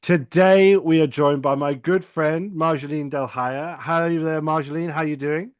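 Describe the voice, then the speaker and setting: A man's voice: on the high side, fairly even intonation, moderate pace, smooth, no echo, studio quality. A man hosting an interview show, introducing it and addressing viewers and guest.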